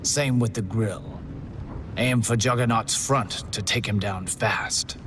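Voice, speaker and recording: An actor playing a cool, menacing male contest master in a video game, taunting and theatrical, speaking in a measured, deliberate, sinister way over loud music. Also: lowish voice, background noise. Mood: cool menace. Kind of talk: scripted video game narration.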